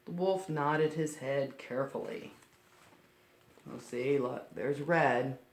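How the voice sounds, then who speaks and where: A woman's voice: very deep voice, takes it slowly. A woman reading a picture book aloud to children, breaking off to explain things simply. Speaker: children's storytime reader. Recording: roomy, echoing sound.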